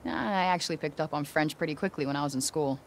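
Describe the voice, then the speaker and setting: A woman's voice: low voice, fast, low-quality recording. A woman playing a young, tough, sarcastic American game heroine with a conscience, blunt and slangy in delivery. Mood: wry, upbeat. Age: young adult. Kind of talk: naturalistic video game voice acting.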